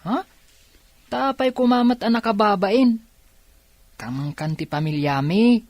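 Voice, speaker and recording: A welcoming aunt in an Ilocano radio drama, played by a woman. Her voice is medium in pitch and recorded dry, with an Ilocano Filipino accent. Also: measured pace, a little mellow, no echo, natural voice. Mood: reassuring.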